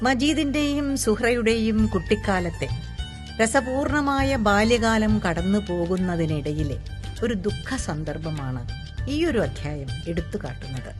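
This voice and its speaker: A woman from reads literary prose aloud as a measured, deliberate storyteller. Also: medium pitch, expressive, over music. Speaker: audiobook reader. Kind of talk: expressive literary narration. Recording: long hall-like reverb.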